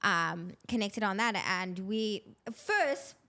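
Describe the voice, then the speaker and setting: A woman's voice: mid-range voice, expressive, takes it slowly, sharp tone. Female podcast host, mid-range voice, informal and effusive, conversational interviewing style.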